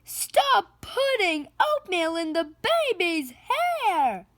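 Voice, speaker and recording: Brash storybook mother, played by a woman, hollering high with big swoops. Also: big pitch swings, very slow, fairly bright tone, dry recording, studio quality, exaggerated voice. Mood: scolding. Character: loud, brash, yelling mother of a noisy family. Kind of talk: children's picture book read-aloud.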